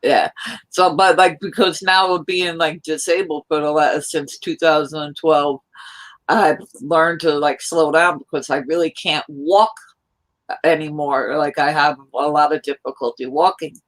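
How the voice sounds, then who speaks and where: A woman from New York chatting casually on a live stream, rambling in a loud, hyper way. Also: low-pitched, steady tone, unhurried, rough recording. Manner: rambling, hyper, self-described loud talker.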